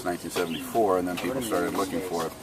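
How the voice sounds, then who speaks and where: A man guiding a group along an outdoor trail, explaining history in an informative, steady way. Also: deep voice, racing, a crowd in the background. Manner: informative, steady, detail-focused. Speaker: tour guide explaining local church history. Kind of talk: explanatory storytelling while walking.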